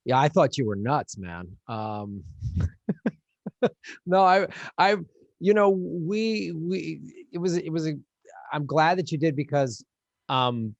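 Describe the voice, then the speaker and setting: Man, middle-aged, from the Midwest, animated, laughing storyteller, dead-dry recording. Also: on the high side, slow, gravelly, pauses often, no echo, lo-fi sound. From American Midwest. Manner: animated storyteller, laughs often, acts out voices. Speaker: podcast co-host, touring comedian. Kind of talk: casual chat.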